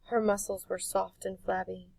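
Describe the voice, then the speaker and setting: A woman narrates a story expressively, as an audiobook reader. Her voice has a marked creak, and the recording is close and dry, with hardly any room sound. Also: medium pitch, little pitch movement, normal speed, darkish tone, dry recording, distant mic.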